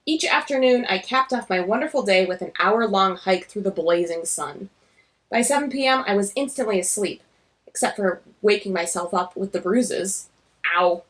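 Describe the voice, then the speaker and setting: Woman doing a glum self-mocking kid telling her story, wry, bright clear storybook read. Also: mid-range voice, moderate pace, pitched below the performer's own voice, close to an everyday voice. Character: nervous, self-deprecating girl narrating her derby camp.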